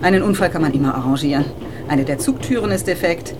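A woman's voice: quick pace, natural voice. An actress playing a ruthless, menacing female operative in a radio drama, cold and threatening. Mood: cold menace. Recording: train rumble behind the voice. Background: train noise.

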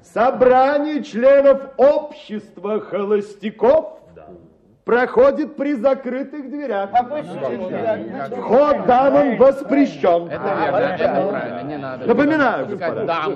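An actor playing a pompous, self-styled writer holding forth to a bachelors' club, declaiming grandly in a radio play. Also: male voice, very high voice, slow, long pauses. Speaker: speaker at a bachelors' club meeting. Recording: slightly dull radio recording, crowd murmur. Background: murmuring male audience.

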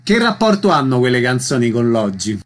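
A man's voice: medium pitch, very animated, brisk, slight background noise. Male radio presenter, mid-range voice, concise and formal interviewing style.

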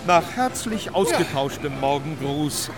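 A reverent, florid chronicler with a slightly high voice, declaiming grandly in literary radio-play narration. Loud music plays behind him. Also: male voice, big pitch swings, a little slow. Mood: dutiful, formal. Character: florid, reverent male chronicler of an imperial voyage.

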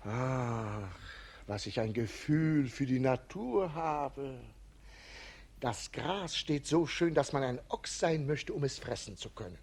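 An actor playing a slightly drunk, witty servant in a 1950s radio play, mocking and breaking into sing-song. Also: male voice, medium pitch, animated, takes it slowly, a little mellow. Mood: tipsy mocking. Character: tipsy, witty male servant and jester-like friend.

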